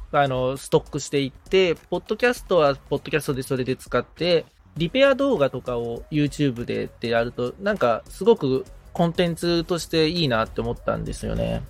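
Slightly high, creaky-voiced man. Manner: agreeable, frequent backchannels. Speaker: podcast assistant and learner. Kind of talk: casual polite chat.

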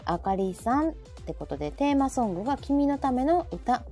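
A woman's voice: high-pitched, very animated, brisk, mellow, slightly rough. A woman with a Kansai accent hosting a casual live chat, talkative and often chiming in with repeated short responses. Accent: Kansai Japanese. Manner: chatty, frequent repeated backchannels. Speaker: talk show host. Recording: background music behind the talk. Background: background music.

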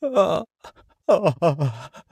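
Man acting a husky, frightened cheating lover. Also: on the high side, sing-song, darkish tone, no room sound, full character voice. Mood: terrified. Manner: halting, faltering short utterances. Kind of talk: Japanese voice drama acting.

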